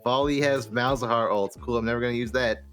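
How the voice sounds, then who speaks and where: A man's voice: mid-range voice, fairly even intonation, fast, a bit bright, faint music behind. A man chatting casually as a panelist on a gaming podcast.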